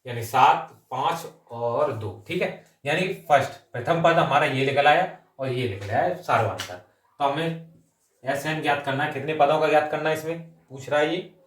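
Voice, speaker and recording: A man teaching an exam-coaching maths lesson, working through sums step by step, mixing in English terms and often adding short check-in phrases. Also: on the high side. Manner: mixes English number words and terms into the talk. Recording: roomy echo, distant microphone.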